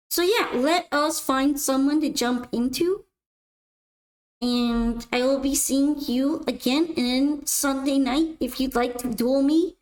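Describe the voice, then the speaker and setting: A young woman streamer chatting casually while gaming, her voice a little raspy and worn out. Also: high-pitched, roomy sound. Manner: chatty, rambling, upbeat sign-off. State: slightly raspy, tired voice. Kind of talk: casual gameplay stream chat.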